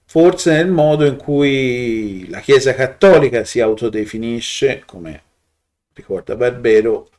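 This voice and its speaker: A man, giving a reflective commentary to his webcam with frequent pauses, fillers and restarts. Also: mid-range voice, a little mellow. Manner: hesitant, many fillers and restarts, thoughtful. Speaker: video blogger.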